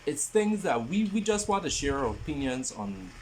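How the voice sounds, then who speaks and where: A man in his twenties introducing a podcast in a casual, halting way, often repeating his phrases. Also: on the high side, sing-song, a little mellow, slight background noise, poor audio. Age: 20s. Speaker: podcast host.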